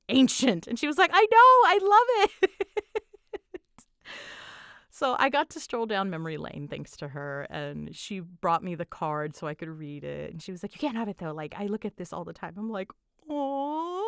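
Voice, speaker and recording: A young adult woman chatting casually as she answers fan questions, with an animated way of retelling things. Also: a little high, a little slow, clear, resonant tone, no room sound, clean studio sound. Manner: chatty, animated retelling with quoted asides and giggles. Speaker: audio roleplay creator answering fan questions. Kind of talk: casual Q&A chat.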